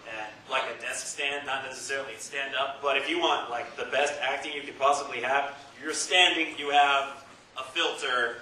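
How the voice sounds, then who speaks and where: A man's voice: medium pitch, fairly even intonation, normal speed, bright, forceful tone. A man talking casually as a panelist on a convention panel. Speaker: panelist and amateur voice actor. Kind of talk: casual, chatty panel talk. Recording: echoey room, distant mic, noisy background.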